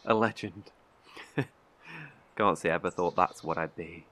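A man's voice: sits low, fairly bright tone. An actor playing a wary, guilt-ridden male survivor in an audio drama, terse, guarded and defensive. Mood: wry.

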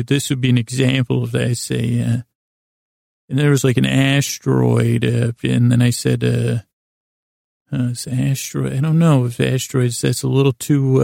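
A man hosting a podcast in a rambling bedtime monologue, halting and meandering, often cutting himself off with false starts, in a self-deprecating, absurdist way. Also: medium pitch, gravelly, darkish tone, no room sound, clean studio sound. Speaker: podcast host.

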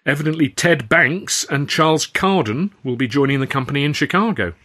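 Audiobook role: matter-of-fact tour manager, voiced by a man, brisk, apologetic, raised pitch. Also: lively intonation, fairly bright tone. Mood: official. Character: matter-of-fact male theatre company manager.

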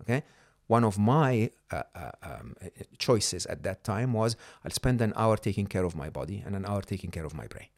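A man's voice: fairly low pitch, expressive, normal speed, hardly any pauses, no echo, studio quality. A middle-aged man whose first language is not English, a podcast guest explaining ideas emphatically and often checking in with the listener. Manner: emphatic, checks in with "okay?" and "hmm?". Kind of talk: conversational coaching talk.

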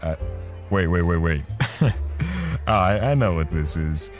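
A man's voice: low voice, unhurried, faint music behind. A man playing a lonely, awkward artist in a first-person audio drama, with a self-conscious manner. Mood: flustered, awkward. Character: lonely, awkward male artist narrating his story.

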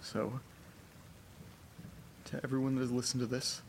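An actor in a horror audio drama playing a weary, desperate man who records himself at home, muttering in halting, wry, sweary monologue. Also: mid-range voice, little pitch movement, a little hiss or hum, close to an everyday voice. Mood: somber, resigned.

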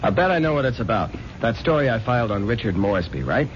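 An actor playing an eager, defensive newspaper reporter in a 1970s radio drama. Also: male voice, big pitch swings, fast, natural voice. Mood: defensive, earnest. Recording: muffled old radio recording, faint rumble.

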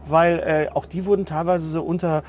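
A man's voice: a little high, little pitch movement, fairly quick, darkish tone, slight background noise. A man interviewing in a conversational way as a podcast host.

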